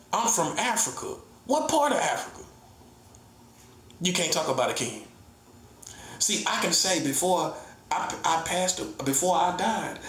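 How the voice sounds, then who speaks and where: A man's voice: on the high side, fairly bright tone, echoey room. A man giving a casual comedic monologue as an online preacher, teasing his listeners, laughing often and acting out mock conversations. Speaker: self-styled prophet and online preacher.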